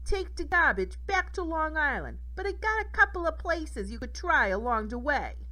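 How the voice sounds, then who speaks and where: A woman's voice: high voice, sing-song, moderate pace, bright, forceful tone, voice pushed up, full character voice, poor audio. A woman voicing a brash male boss in a children's storybook, a slangy wheeler-dealer. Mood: placating. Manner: casual slangy deal-making talk.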